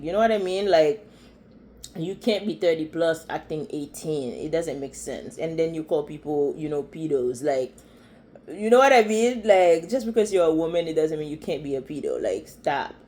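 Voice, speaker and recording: A woman's voice: sits low, warm tone. A woman with a Haitian accent giving blunt, chatty commentary as a vlogger reacting to online threads. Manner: blunt, opinionated, chatty with many fillers.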